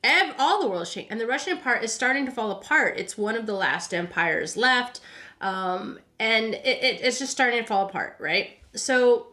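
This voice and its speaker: A woman's voice: on the high side, animated, normal speed, sharp tone. A woman hosting a podcast, telling history casually from notes in an informal way, often tagging sentences with "right?" and stumbling over words as she goes. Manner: informal, chatty, frequent "right?" tags; stumbling, self-correcting, repeats words. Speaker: podcast host.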